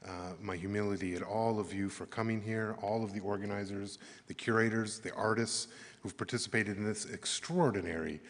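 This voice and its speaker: A Canadian man giving earnest closing remarks at an arts summit, halting and thoughtful, with many fillers. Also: on the low side, steady tone. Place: large conference hall. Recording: echoey hall with long reverb.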